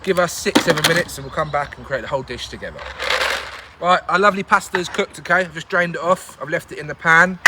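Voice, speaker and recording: A man's voice: a little high, very noisy. A man hosting a casual outdoor cooking show in the woods, talking through each step with plenty of jokes and laughter. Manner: chatty, jokey, laughs often.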